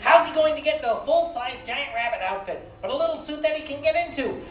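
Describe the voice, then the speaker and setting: A woman's voice: sits high, lively intonation, fast talker. A woman playing a vain, tormented clockwork robot tailor with theatrical haughtiness in a comedy radio play. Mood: wounded, indignant. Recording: muffled distant echoey convention room, hissy.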